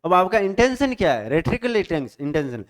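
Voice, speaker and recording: Man explaining in a slightly high voice. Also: sing-song. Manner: explains step by step, checks in with "ठीक है?"; reads English definitions slowly. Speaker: teacher. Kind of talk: Hindi-English exam-prep lecture.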